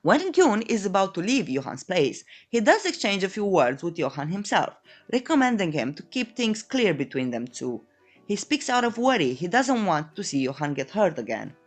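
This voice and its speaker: Animated woman narrator with swooping pitch. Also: moderate pace. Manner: playful, tongue-in-cheek, theatrical storytelling with flowery euphemisms. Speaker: recap narrator. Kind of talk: scripted comedic story recap narration. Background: background music.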